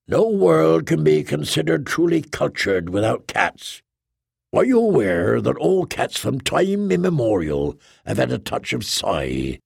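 A man voicing a huge, solemn male trader who speaks slowly and formally, with a dry, deadpan manner. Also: medium pitch, gravelly, breathy edge, dry recording, exaggerated voice.

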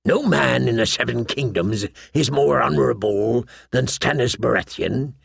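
Guy doing a grave smuggler in an audiobook, sober n measured, rough husky voice with heavy fry. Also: mid-range voice, dry recording, full character voice. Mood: firm, sober. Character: grave, plain-spoken low-born smuggler, a man. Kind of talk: audiobook character voice.

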